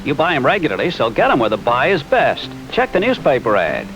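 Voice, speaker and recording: Animated American supermarket ad man over music. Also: mid-range voice, brisk, dull audio. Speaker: commercial announcer. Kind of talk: supermarket advertisement read.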